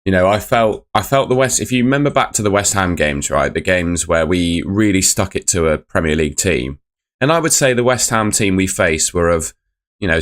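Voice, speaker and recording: A young man hosting a casual live football review, his voice croaky from shouting the night before, chatty and rambling as he reads out chat messages. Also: sits low. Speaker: fan channel host.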